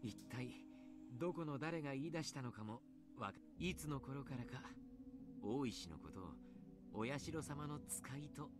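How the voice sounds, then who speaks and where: Quick-spoken, courteous actor as a young male doctor. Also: medium pitch, animated, natural voice. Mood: grave, measured. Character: calm, courteous young male doctor explaining grim rumours. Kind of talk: Japanese visual novel voice acting. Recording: music behind the voice. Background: game music.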